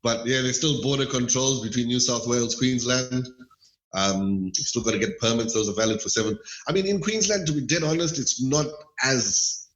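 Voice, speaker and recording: A middle-aged man from Malawi, a podcast guest talking in a thoughtful, measured way with hedging asides. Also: mid-range voice, a bit bright. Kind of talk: casual interview chat. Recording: roomy echo.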